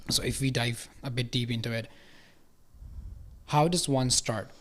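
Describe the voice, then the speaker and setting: A man with a medium-pitched voice speaks as a podcast interviewer. He keeps things conversational, with agreeable, encouraging responses and questions. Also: fairly even intonation, unhurried, a bit bright, slight background noise.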